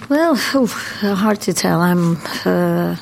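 Low-voiced woman, chatty. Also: lively intonation, slow, gravelly, a little mellow, a little hiss or hum. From Croatia. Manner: chatty, hesitant with many ums. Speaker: theater founder. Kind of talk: guided tour interview.

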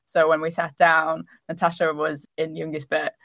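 A woman in her thirties chats her way through a podcast, telling stories and laughing often. Her voice sits on the lower side and sounds dry and close to the mic. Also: completely flat delivery, normal speed, fairly bright tone, dry recording. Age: 30s. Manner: chatty, laughs often, storytelling. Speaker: podcast co-host. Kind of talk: casual podcast chat.

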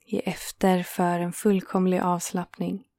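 Low, even-voiced woman guiding relaxation. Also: no echo, clean studio sound. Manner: calm, soothing, unhurried with gentle pauses. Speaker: meditation guide and host. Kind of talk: guided yoga nidra relaxation.

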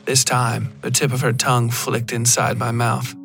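A man giving a scripted first-person narration of dark horror fiction. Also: medium pitch, monotone, normal speed, creaky. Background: music.

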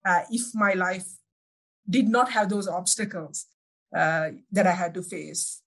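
A woman from Sri Lanka with a Sri Lankan accent, speaking English as a second language, giving reflective, personal interview answers and often pausing to correct herself. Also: fairly low pitch, a bit bright. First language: not English (English is second language). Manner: halting, searching for words, self-correcting. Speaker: interview guest.

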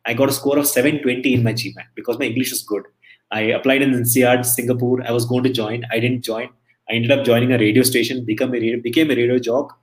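A man from India speaks as an interview guest, rattling off stories at a fast clip. His talk is chatty and loose, full of restarts. He sounds middle-aged. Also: mid-range voice, level tone, rough recording. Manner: chatty, storytelling, many restarts. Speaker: interview guest, emcee and entertainer.

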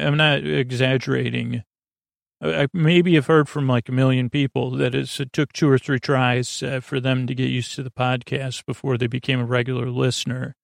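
A man hosting a bedtime podcast in a meandering, hesitant monologue, often interrupting himself, with a gravelly, creaky voice. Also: mid-range voice, no echo, studio quality. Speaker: podcast host.

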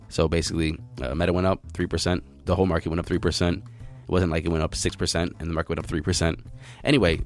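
American guy doing a news podcast, lowish slightly raspy sick voice, chatty wry asides, music behind him. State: voice lowered by illness. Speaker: podcast host. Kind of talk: news reading with casual commentary. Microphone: close podcast mic, compressed and equalized.

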